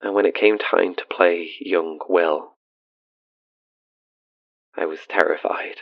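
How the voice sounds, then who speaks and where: A man's voice: sits low, steady tone, slow, creaky, dry recording, dull audio, heavily acted. A man playing an awkward, earnest, haunted radio caller making a hesitant confession in an audio drama. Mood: haunted, fearful. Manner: hesitant, self-deprecating, earnest.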